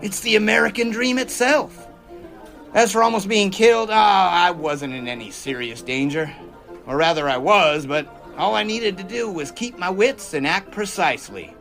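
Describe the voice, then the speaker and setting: Jaunty, high-voiced 1920s test pilot. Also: male voice, moderate pace, over music. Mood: reflective, proud. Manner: folksy, jaunty, enthusiastic. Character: cheerful, eager 1920s American test pilot, fond of teasing. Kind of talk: period audio drama acting.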